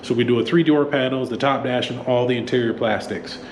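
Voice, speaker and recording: A man's voice: mid-range voice, a little fast. A man with a stuffy nose from a cold, hosting a casual how-to walkthrough with lots of ums and restarts. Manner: relaxed, many ums and restarts. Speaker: auto mechanic channel host. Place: home garage workspace. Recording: echoey room with fan noise.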